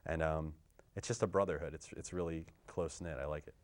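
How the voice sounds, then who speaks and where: A young man with a very low voice talks about singing bass in a barbershop chorus. He answers earnestly and a little shyly. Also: steady tone, a little fast. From Pennsylvania, USA. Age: young adult. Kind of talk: casual interview answers.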